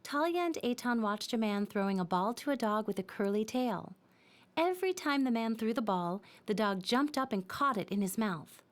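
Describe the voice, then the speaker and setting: Female children's story narrator: highish, expressive, lively intonation, simple storytelling delivery. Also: normal speed.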